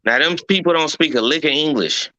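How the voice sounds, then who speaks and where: Folksy man with a sharp dry voice. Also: fairly high pitch, a little fast, no room sound. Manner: folksy, persistent, keeps saying right and okay. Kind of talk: heated casual debate.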